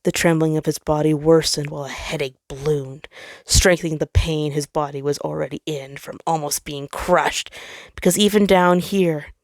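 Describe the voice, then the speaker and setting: A woman's voice: low voice, steady tone, normal speed, dry recording, studio quality. A woman reading a story aloud as dramatic scripted narration. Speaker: fan fiction reader.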